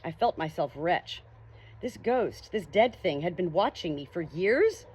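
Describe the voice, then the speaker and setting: A woman's voice: lowish voice, expressive, unhurried, darkish tone, husky. A woman narrating an audiobook novel dramatically in the first person.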